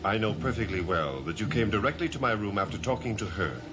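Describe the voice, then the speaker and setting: An actor playing an intense, accusing heir of a cursed family in a theatrical gothic television soap. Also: male voice, fairly low pitch, animated, fast, natural voice. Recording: old TV soundtrack, dramatic music underneath.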